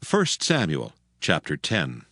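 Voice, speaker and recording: A man's voice: a bass voice, huge pitch swings, takes it slowly, fairly bright tone, no room sound, studio quality. A man narrating a dramatized Bible audiobook in a formal storytelling delivery. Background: background music.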